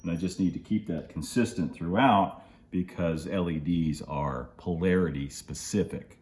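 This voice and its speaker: A middle-aged man giving a casual, relaxed hands-on tutorial, halting a little as he works in an indoor workshop. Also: deep voice, reverberant. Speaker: host of model railroad channel.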